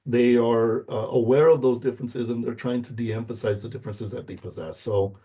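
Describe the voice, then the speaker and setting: A man's voice: medium pitch, steady tone, brisk, mellow. A middle-aged man from the United States whose first language is Spanish, giving an informal lecture in an explanatory way, checking in with short tag questions. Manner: explanatory, checks in with "okay?" and "right?".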